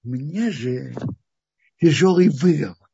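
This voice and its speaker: A man teaching the weekly Torah portion in a halting, thinking-aloud way, often restarting his phrases. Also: on the high side, lively intonation, gravelly, warm tone, slightly rough, muffled recording.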